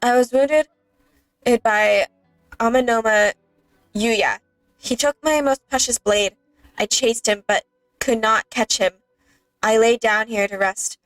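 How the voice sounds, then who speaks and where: Young female game streamer; casual chat and read-aloud, fairly high voice, close dry sound. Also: fairly bright tone, no room sound, poor audio.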